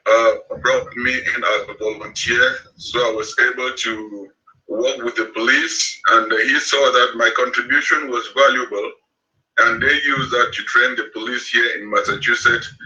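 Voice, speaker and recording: A Cameroonian man in his sixties speaking as an interview guest, slow and halting with many fillers. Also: mid-range voice, bright, forceful tone. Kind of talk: remote interview. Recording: distant, dull remote call audio.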